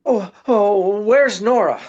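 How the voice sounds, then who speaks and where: A man's voice: high voice, slow pace, darkish tone. A man playing a tough, wisecracking private detective in 1940s radio style, brusque, slangy and commanding, on a dull recording. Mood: agitated. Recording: dull, band-limited sound.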